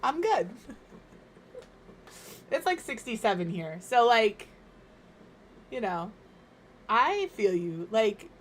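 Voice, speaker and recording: A woman from Minnesota streaming from home, chatting casually and rambling, her voice slightly hoarse and crackly as it recovers. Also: a little high, very animated, slow, long pauses. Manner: rambling, chatty, self-deprecating. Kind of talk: casual chat while gaming.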